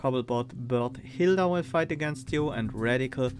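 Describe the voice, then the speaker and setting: A man with a Swiss accent, streaming a card game and thinking aloud, reading cards and weighing choices with pauses and fillers. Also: medium pitch, soft background music. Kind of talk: casual gameplay commentary.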